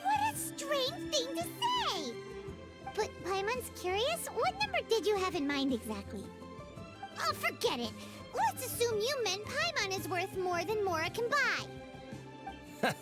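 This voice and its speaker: A tiny, perky game fairy with an extremely high, squeaky voice. The delivery is childlike and very animated, with unhurried phrasing. Music plays behind her. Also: full character voice. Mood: indignant. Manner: speaks of self in third person, perky. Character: small cheerful food-loving floating fairy companion, childlike girl. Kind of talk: video game character acting.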